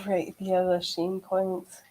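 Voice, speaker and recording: A woman's voice: fairly low pitch, monotone, takes it slowly, dark tone, slight background noise. A woman joining a casual chat, mostly agreeing and chiming in with short replies. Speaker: co-host or guest in the chat.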